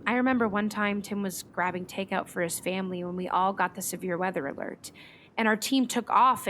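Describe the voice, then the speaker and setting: An actress plays a woman storm chaser, earnest and troubled, with a mid-pitched voice. She speaks hesitantly and thoughtfully in natural radio drama style. A clear rumbling ambience sits behind her. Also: fairly bright tone, a little hiss or hum, close to an everyday voice. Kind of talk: naturalistic radio play acting.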